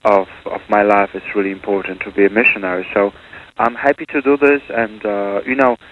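A man's voice: lowish voice, fairly even intonation, fairly quick. A man from Poland, a priest and missionary, speaking in a radio interview with many hesitations and restarts. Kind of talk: conversational interview. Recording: tinny phone-line radio with hiss.

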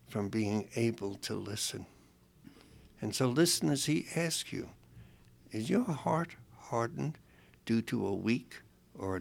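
A man co-hosts a faith radio program and reads a devotional passage to the listeners. His delivery is measured and earnest, and his voice is gravelly at a middle pitch. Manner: measured, earnest reading with a dry humor in banter. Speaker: radio co-host reading a devotional. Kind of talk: reading a devotional text on air.

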